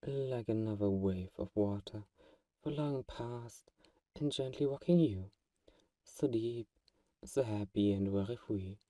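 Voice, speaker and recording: A man's voice: on the low side, dark tone, no room sound, recorded from a distance. A man playing a tender, manipulative vampire friend in a hypnotic ASMR roleplay, coaxing and soothing with intimate endearments.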